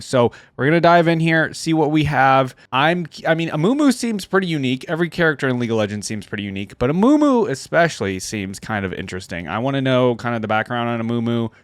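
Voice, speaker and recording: A man's voice: medium pitch, no room sound. Casual man hosting, very dry close sound. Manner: informal, chatty, addresses viewers directly. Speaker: reaction video host. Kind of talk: casual reaction commentary with read-aloud story text.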